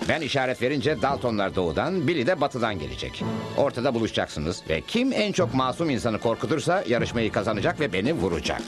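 A man voicing a quick-witted, heroic cowboy lawman in a dubbed cartoon, wry and brisk. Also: mid-range voice, big pitch swings, music in the background, close to an everyday voice. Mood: brisk, scheming.

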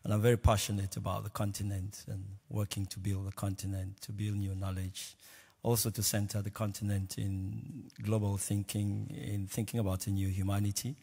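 A man on a panel introducing himself earnestly, with many fillers and pauses. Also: on the low side, flat delivery, vocal fry, warm tone, husky. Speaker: panelist, institute head. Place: large lecture hall stage. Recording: reverberant large hall.

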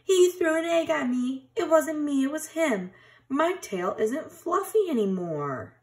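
A woman voicing indignant, prickly woodland animals squabbling in a children's storybook, exclaiming loudly. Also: very animated, measured pace, heavily acted. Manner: high, loud exclaiming.

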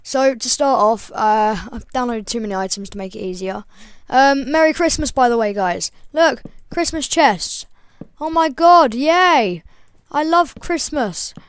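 A young woman with a slightly croaky voice, hosting a casual game tutorial voice-over in a chatty, rambling way with lots of ums. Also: high-pitched, expressive, unhurried, few pauses, dry recording. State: croaky, voice breaking. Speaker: gaming channel host.

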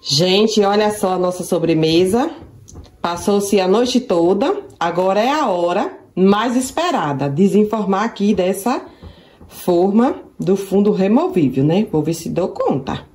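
A woman from Brazil speaks in a relaxed, chatty way, as if showing friends around her kitchen. Her country comes through in easygoing, affectionate phrasing full of little diminutives. Her voice sits at an ordinary middle pitch. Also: a little slow, breathy edge. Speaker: vlogger. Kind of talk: casual cooking and shopping vlog.

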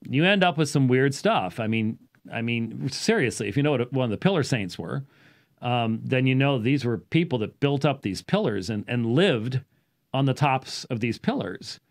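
A man gives an off-the-cuff explanatory talk as a show host, halting at times and leaning on key words. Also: mid-range voice, expressive, creaky. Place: small indoor study with bookshelves. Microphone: studio microphone on boom arm, close.

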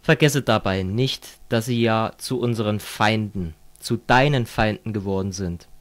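A man's voice: lowish voice, a little slow, close to an everyday voice. A man playing a fervent communist who lectures a friend in a didactic, declamatory way, as in an amateur radio play. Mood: fervent, sardonic.

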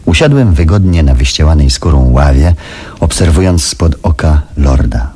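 Very low husky guy, dark mellow tone, calculating businessman telling his story in a radio play. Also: lively intonation, normal speed, heavily acted. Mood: measured, watchful. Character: Frank, calculating ambitious businessman recounting events in first person. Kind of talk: Polish radio drama narration.

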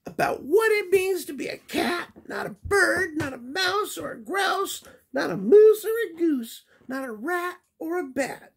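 Casting: dad doing a shrill storybook mother cat, shrieking falsetto scolds. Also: lively intonation, a little slow, vocal fry, few pauses, a bit bright, full character voice. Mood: scolding.

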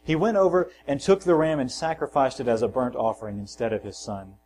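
A man preaching a sermon in a church, at an ordinary middle pitch. He reads scripture with rising force and drops softly at the ends of his phrases. A faint hum sits under the recording. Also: steady tone, brisk. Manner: self-deprecating asides and personal anecdotes. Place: church sanctuary.

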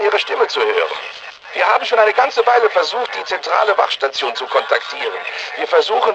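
An actor playing an anxious, learned research scientist in a video game, speaking in a formal, precise, explanatory manner. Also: male voice, high voice, lo-fi sound, noisy background. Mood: urgent, worried. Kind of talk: scripted video game dialogue.

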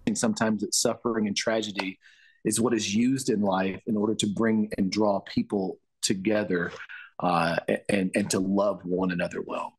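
A man in his forties, a pastor, giving halting unscripted interview answers over a video call from a room. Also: lowish voice, little pitch movement, vocal fry, breathy edge, rough recording. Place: indoor room.

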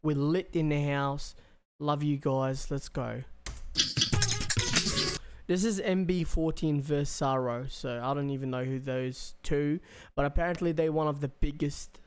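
A man's voice: on the high side, measured pace, a little mellow, faint music behind. A young man chatting casually as a reaction video host, rambling with slang and swearing. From Australia. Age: young adult. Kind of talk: casual chat, reaction commentary. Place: small bedroom, indoors. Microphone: close desk microphone.

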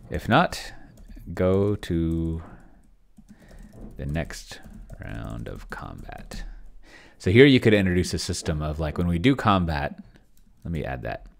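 A middle-aged man with a very deep voice that often drops into fry, yet swings freely up and down. He talks casually through a solo roleplaying session at his computer, halting and muttering as he thinks aloud. Keys can be heard as he types. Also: sing-song. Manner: halting, muttering to himself while working. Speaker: solo tabletop roleplayer testing an AI game master. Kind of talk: casual screen-share commentary, thinking aloud. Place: indoors at a desk in a home room.

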